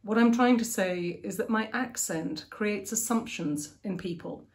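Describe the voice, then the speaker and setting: A woman's voice: medium pitch, a little slow. A woman in her forties with a posh English accent, hosting a reflective solo talk from her living room with frequent ums and light laughs. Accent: posh southern English. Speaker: podcast host. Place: living room at home, indoors.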